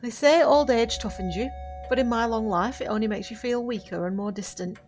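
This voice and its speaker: An actress playing a centuries-old witch in an audio drama, gracious and quietly sorrowful, with formal, measured diction. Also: a little high, natural voice. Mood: regretful. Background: music.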